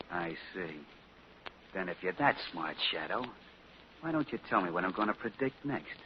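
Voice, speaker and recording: An actor playing a rough male crook with street talk who claims to see the future, on a muffled 1940 radio broadcast. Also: low-pitched, animated, unhurried, long pauses, slight background noise. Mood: sly. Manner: street talk, jumpy then sly.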